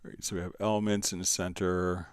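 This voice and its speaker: A man hosting a live software tutorial, answering viewer questions casually and thinking aloud in a halting way as he demonstrates. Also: low-pitched, flat delivery, clear, resonant tone, no room sound.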